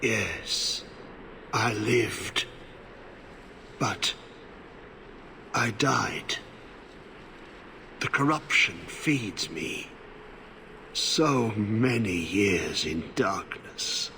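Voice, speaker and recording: An actor playing a half-mad, corrupted old warden in a video game, haunted and cryptic, speaking in halting fragments. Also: male voice, on the low side, expressive, vocal fry, background noise, exaggerated voice. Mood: bleak, tormented. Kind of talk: video game cutscene acting.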